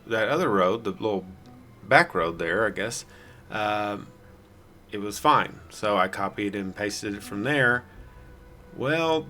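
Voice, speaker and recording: A man with a raspy, hoarse voice giving rambling, self-deprecating casual commentary as a gameplay video host. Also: mid-range voice, sharp tone. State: hoarse from singing the night before.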